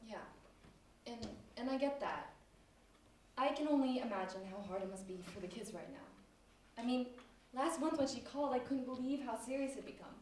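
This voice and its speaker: A woman's voice: a little high, normal speed, pauses often, a little mellow, lightly acted. A female performer playing a caring, worried best friend, earnest and reassuring, in an amateur stage play. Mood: earnest, consoling. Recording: very echoey stage, distant mic, faint noise.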